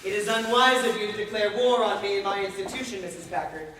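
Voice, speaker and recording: A woman's voice: medium pitch, fairly even intonation, fast, background noise, close to an everyday voice. A woman on a school stage playing a stern, controlling asylum doctor with a formal, authoritative manner. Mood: stern, threatening. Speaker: student stage actor. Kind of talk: high school stage play acting. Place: large indoor stage, far mic. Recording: echoey stage recorded from far off.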